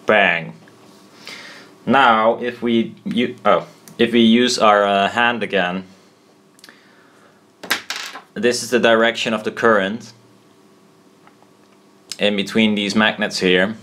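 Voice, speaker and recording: A man giving an informal tutorial at a desk, thinking aloud in a halting, self-deprecating way. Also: lowish voice, steady tone, fairly bright tone, a little hiss or hum. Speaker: presenter explaining loudspeaker technology. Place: indoors at a desk.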